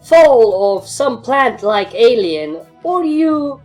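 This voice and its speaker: A woman's voice: on the high side, big pitch swings, slow, darkish tone, faint music behind, pitched above the performer's own voice. A woman voicing an assertive, sarcastic female pony adventurer in a fan fiction story, with a dry, bossy manner.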